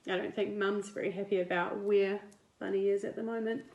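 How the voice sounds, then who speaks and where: A woman, a teacher reading a picture book aloud to young children in an expressive, deliberate way. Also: mid-range voice, dull audio. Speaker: primary school teacher. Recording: roomy indoor echo.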